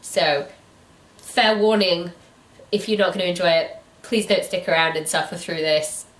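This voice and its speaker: A woman co-hosting a book review channel, chatting casually in an animated, incredulous way. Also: lowish voice, normal speed, recorded from a distance. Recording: echoey room, away from the mic.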